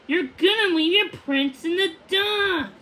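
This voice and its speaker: An excitable male puffin acted out by a woman reader from a children's storybook. He is bossy and urgent, speaking in a high, insistent voice. There is some background noise. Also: measured pace. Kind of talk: children's storybook read-aloud.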